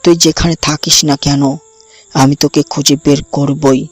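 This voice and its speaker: A man's voice: a little high, level tone, moderate pace, soft background music, natural voice. A man playing a vengeful, threatening young rival villain in an audio drama. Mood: menacing. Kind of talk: dramatised audio story dialogue.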